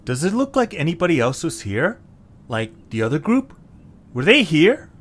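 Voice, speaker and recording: A man's voice: a little high, huge pitch swings, moderate pace, a bit bright, exaggerated voice. A man playing an anxious, earnest young creature in an amateur audio drama, hesitant and pleading. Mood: unsure, downcast.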